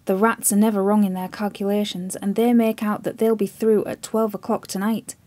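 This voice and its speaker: A woman voicing a terrified young sailor in a spoken story, pleading loudly and desperately. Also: medium pitch, little pitch movement, moderate pace, no echo, close to an everyday voice. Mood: grim warning. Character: terrified young sailor driven half mad, male.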